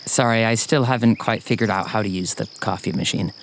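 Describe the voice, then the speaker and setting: A man playing a friendly, slightly awkward fellow in a naturalistic audio drama, speaking gently and hesitantly. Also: on the low side, a little fast, creaky, noisy background. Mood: friendly, gentle. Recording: faint outdoor ambience with insects.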